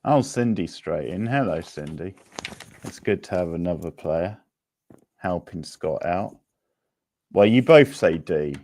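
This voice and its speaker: Deep-voiced man hosting a casual quiz. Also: animated, measured pace, long pauses, darkish tone. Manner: chatty, rambling, thinking aloud. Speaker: quiz host.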